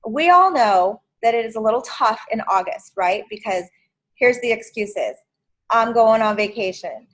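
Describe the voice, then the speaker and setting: A woman's voice: on the high side, expressive, normal speed, a bit bright. A woman in her fifties hosting a sales training video call, chatty and encouraging. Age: 50s. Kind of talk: casual video call meeting.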